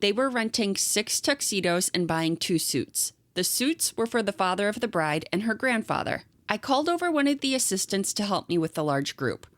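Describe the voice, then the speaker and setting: A woman co-hosting a podcast, chatting casually and reading online stories aloud in a steady storytelling way. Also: mid-range voice, no room sound. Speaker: podcast co-host.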